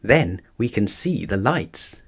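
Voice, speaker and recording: A man's voice: lowish voice, very animated, takes it slowly, husky, full character voice. A man voicing a polite, eager young bear in a children's storybook, earnest and courteous. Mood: hopeful.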